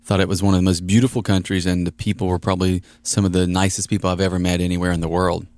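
A man's voice: sits low, level tone, racing, darkish tone, dry recording. A man co-hosting an interview, asking a guest friendly questions about her background. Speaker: podcast co-host.

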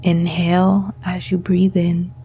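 A woman guiding a meditation as a narrator, speaking in a soothing, gentle and breathy manner. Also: lowish voice, completely flat delivery, slow pace, soft background music. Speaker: meditation guide.

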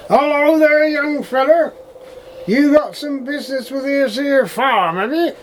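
Casting: male actor as folksy rural English farm manager, old-fashioned and wary, very high-pitched voice, audio drama. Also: a little slow, slight background noise, low-quality recording. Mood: suspicious. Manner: folksy dialect, addresses others as young lad.

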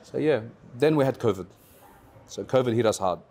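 A man, a car rental business owner, telling his story as a guest in a casual interview, animated and repetitive, with slang. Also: mid-range voice, normal speed. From Australia.

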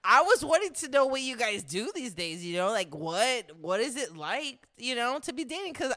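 A woman's voice: fairly high pitch, big pitch swings, normal speed, sharp tone. A woman co-hosting a podcast, chatting casually with friends. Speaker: podcast co-host. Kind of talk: casual group chat.

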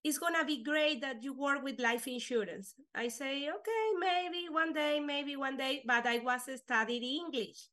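A young woman whose first language is Spanish, talking earnestly in halting English with fillers as an interview guest. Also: high voice, pushed and bright. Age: young adult. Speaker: guest, life insurance agent. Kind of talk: casual interview chat.

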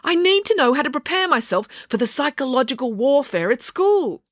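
Woman doing a chirpy, prim teen girl for a storybook reading; lively lilting pitch. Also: a little fast, dry recording, clean studio sound. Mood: urgent. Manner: bright, cheerful, a little prim. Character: cheerful, prim, self-assured teenage girl.

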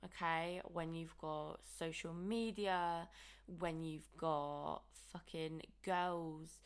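A woman, hosting a casual solo podcast with a croaky early-morning voice. She talks candidly and chattily, with lots of "like" and "okay". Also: low voice, steady tone, extremely slow. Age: 20s. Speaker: podcast host and coach.

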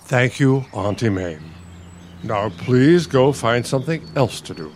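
A man's voice: mid-range voice, animated, a little slow, a little hiss or hum, natural voice. A man playing an irritable elderly bookish husband in a radio play, testy, condescending and sardonic. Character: irritable elderly bookish husband, pompous retired college dean.

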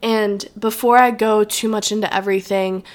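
A woman's voice: medium pitch, fairly even intonation, moderate pace. A young woman hosting a solo podcast in a chatty, rambling, reflective way, sounding a little nasal from allergies. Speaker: podcast host. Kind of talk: casual solo podcast chat.

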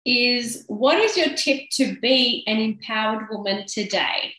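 A woman's voice: fairly high pitch, animated, unhurried, sharp tone, echoey room, rough recording. A woman hosting a podcast over a video call, answering her guest with short words of agreement. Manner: affirming, brief agreements. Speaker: podcast host. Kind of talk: casual interview.

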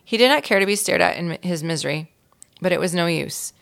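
A woman reading a children's story aloud in an expressive storytelling style, with a creaky voice. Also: lowish voice, brisk. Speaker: volunteer reader of a children's book.

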